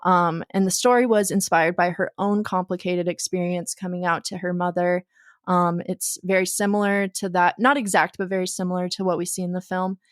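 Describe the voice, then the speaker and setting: A woman's voice: fairly low pitch, steady tone, fairly quick, no room sound, studio quality. A young woman co-hosting a film podcast, chatting casually with many ums. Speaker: podcast co-host.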